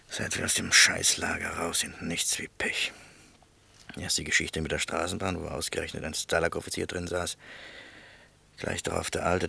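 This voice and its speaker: A stubborn, nonchalant male escaped prisoner in a radio drama. His deep voice is heavily creaky and husky, grumbling with dry, colloquial irony. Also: pushed and bright, no echo, exaggerated voice. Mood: grumbling, ironic. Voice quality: gravelly. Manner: colloquial, nonchalant, dryly ironic. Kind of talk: radio play acting.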